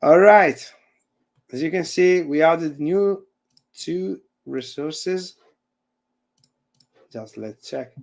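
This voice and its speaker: A man's voice: on the high side, very slow, vocal fry, pauses often, darkish tone. A man from Italy with an Italian accent, presenting on a video call, searching for words with many fillers and restarts. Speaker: guest presenter and university lecturer. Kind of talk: casual chat and live coding demo on a video call. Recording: audio fading in and out.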